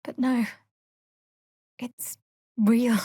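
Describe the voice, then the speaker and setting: An actress playing a guarded, wry young half-elf cleric in a fantasy video game, speaking in a measured, dry, slightly haughty way. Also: female voice, on the high side, little pitch movement, mellow, slightly rough, no echo, heavily acted. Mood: earnest, reflective. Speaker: video game character voice actor. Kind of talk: scripted fantasy game dialogue.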